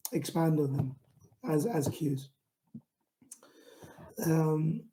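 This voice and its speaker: A man with a London accent speaking straight into the camera in an online talk. His voice is very dark and mellow, and he delivers it slowly in a level, monotone way. He pauses often, with many ums and restarts. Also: a little high, a little hiss or hum, low-quality recording. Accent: London English. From England. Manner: self-described monotone, many fillers and restarts. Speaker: founder giving a pitching talk.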